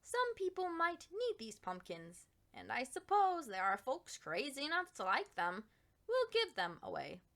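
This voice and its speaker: A woman's voice: high voice, wildly swooping pitch, measured pace, a bit bright, voice pushed up, full character voice. A woman reading a storybook, voicing a stubborn, fussy woman gardener in an emphatic, grumbling manner. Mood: fretting, resigned.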